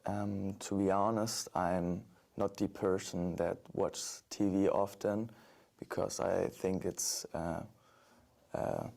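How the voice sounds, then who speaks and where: A man from Germany answering as a candidate in a sample speaking-test video, slow and hesitant with many ums. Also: low voice, flat delivery, creaky, darkish tone.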